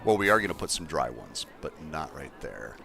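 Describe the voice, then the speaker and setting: A man with a deep voice casually chatting to his viewers as he builds in a game livestream, thinking aloud in an easygoing way. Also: very animated, normal speed, vocal fry, bright, forceful tone, slight background noise. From Colorado, USA. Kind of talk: casual gameplay livestream chat.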